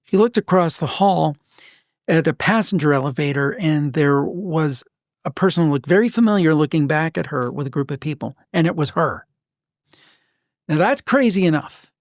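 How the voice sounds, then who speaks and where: A man hosting a podcast, telling stories casually and emphatically, with deliberate pauses. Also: on the high side, expressive, dry recording, studio quality. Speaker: podcast host. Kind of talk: casual conversational storytelling.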